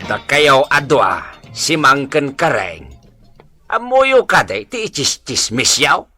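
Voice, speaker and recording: A man's voice: mid-range voice, sing-song, takes it slowly, pushed and bright, soft background music, full character voice. A man playing an exasperated, scolding barangay captain in an Ilocano comedy radio drama, with impatient, emphatic delivery.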